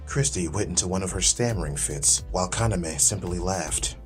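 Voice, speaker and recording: A man's voice: low-pitched, normal speed. A man reading scripted dramatic story narration as an audiobook narrator. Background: music.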